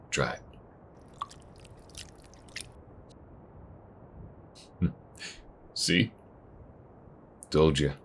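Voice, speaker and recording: A man playing a wary, protective chop shop owner in a space western audio roleplay, talking slowly and intimately in folksy phrases. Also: a bass voice, breathy edge, full character voice. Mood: easygoing, teasing. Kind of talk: audio roleplay acting, spoken intimately to the listener.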